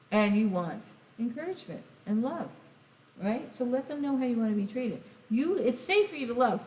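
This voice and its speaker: A woman giving a casual tarot reading, rambling and speaking straight to the listener, her voice going hoarse. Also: fairly high pitch. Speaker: tarot reader. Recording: echoey room, faint hiss.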